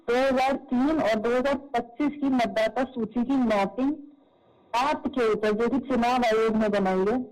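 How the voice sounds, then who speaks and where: A woman's voice: a little high, a little slow, darkish tone. A woman official explaining procedure step by step, repeating herself, on a played-back phone call recording. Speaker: government election official. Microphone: phone call recording replayed at press conference.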